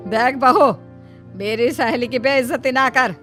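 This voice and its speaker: A woman's voice: sits high, unhurried, a bit bright, soft background music. A woman playing a jealous, mean older mother-in-law in a Hindi cartoon story, nagging and exaggerated. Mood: scornful.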